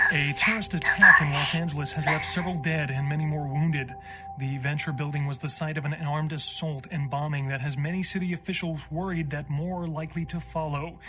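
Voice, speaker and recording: A television newsreader in a video game, pitched a bit high for a man. He delivers scripted bulletins formally, with measured, matter-of-fact authority. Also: bright, forceful tone. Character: brisk male TV news anchor, matter-of-fact. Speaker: news anchor. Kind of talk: scripted broadcast news reading.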